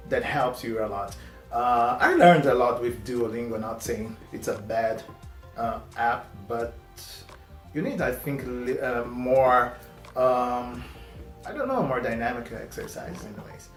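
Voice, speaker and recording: Male host, native Portuguese speaker, accented English, mid-pitched, loose halting delivery, echoey room with soft music underneath. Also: expressive. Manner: halting, many fillers and restarts. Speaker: host reacting to a video. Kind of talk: casual reaction commentary. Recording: roomy echo, faint music.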